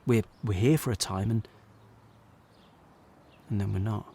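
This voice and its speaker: An actor playing a regretful, sincere jobbing actor, narrating an audio drama in a halting, plain-spoken way. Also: male voice, lowish voice, steady tone, warm tone, husky. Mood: solemn, halting. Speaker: actor narrating as lead character.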